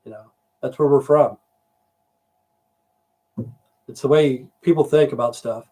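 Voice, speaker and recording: A man's voice: mid-range voice, fairly quick, dark tone, breathy edge. A middle-aged man from Idaho, rambling and swearing through a casual livestream with frequent "you know" fillers. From Idaho, USA. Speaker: livestream host.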